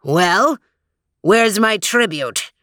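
A woman voicing a gruff male troll, a small hedgehog in disguise, with a deep growl in a storybook reading. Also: expressive, pushed and bright, no echo, studio quality, exaggerated voice. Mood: menacing. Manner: slow, menacing demands.

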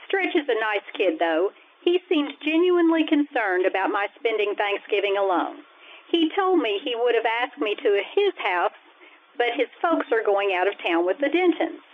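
An actress playing a sardonic high school English teacher with dry, deadpan asides in an old-time radio comedy. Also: female voice, moderate pace. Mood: wry. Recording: tinny, phone-like old radio-style sound.

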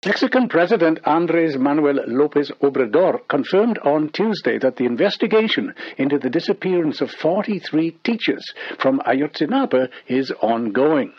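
A man's voice: fairly high pitch, lively intonation, moderate pace, few pauses, slightly rough. A man reading a scripted news bulletin as a radio newsreader, over dull shortwave radio.

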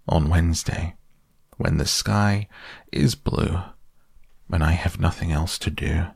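Man voicing a slow, deep, humble storybook bear, Pooh. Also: creaky, slightly rough, no room sound, clean studio sound, exaggerated voice. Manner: hesitant, ponderous. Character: slow, humble, gentle male toy bear.

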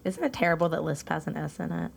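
A woman's voice: sits low, animated, gravelly, breathy edge. A woman co-hosting a podcast, joking around in casual chat, with a lisp. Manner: jokey, quick to laugh.

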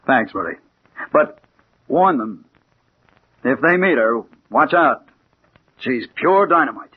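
Dramatic, hard-boiled American detective. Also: male voice, mid-range voice, expressive, takes it slowly. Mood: terse. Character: hard-boiled male private detective bent on revenge. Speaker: narrator and lead character. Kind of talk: 1940s radio crime narration and acting. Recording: muffled old radio recording with hiss.

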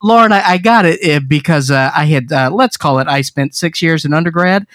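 A man from the American South with a Southern accent, chatting haltingly and self-deprecatingly as a podcast co-host. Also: on the high side, animated, a bit bright, no echo. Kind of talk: casual chat.

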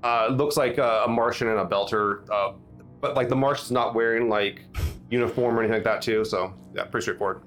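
A man's voice: medium pitch, fairly even intonation, fairly quick, a bit bright, soft background music. Laid-back male game master. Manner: casual, hesitant, lots of fillers. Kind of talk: narrating a tabletop game.

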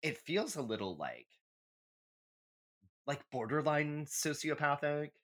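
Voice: mid-range voice, big pitch swings, slow, fairly bright tone. A podcast co-host in casual chat, giving candid, emphatic, sweary opinions like a comic rant.

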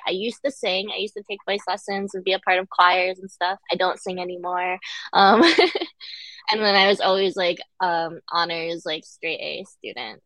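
A woman's voice: mid-range voice, no room sound, rough recording. Young woman with a dry close sound. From United States, Connecticut. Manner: relaxed, many "um" and "like" fillers, laughs easily. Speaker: guest, director and dancer. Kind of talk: casual interview.

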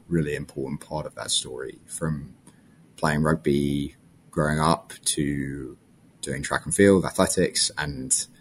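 Very deep-voiced young Englishman. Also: slight background noise. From London, England. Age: 20s. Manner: friendly and reflective, with small restarts. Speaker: guest. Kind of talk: casual interview answers.

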